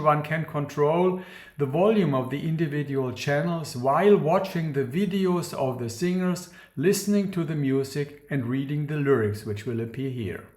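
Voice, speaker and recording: A man gives a scripted academic lecture in a formal, measured, precise manner. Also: a little high, darkish tone. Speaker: academic researcher presenting a talk. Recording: roomy, echoing sound.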